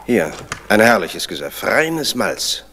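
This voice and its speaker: An actor playing a genial, ageing crime writer and estate owner with urbane, courteous manners in a German radio play. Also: male voice, on the low side, sing-song, slow, sharp tone, full character voice. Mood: confiding, wistful. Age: older. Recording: noisy vintage radio play recording.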